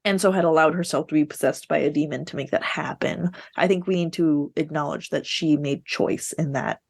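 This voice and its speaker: Female podcast co-host, slightly low voice, dry recording, conversational and opinionated. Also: brisk, creaky, dry recording. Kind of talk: casual book discussion.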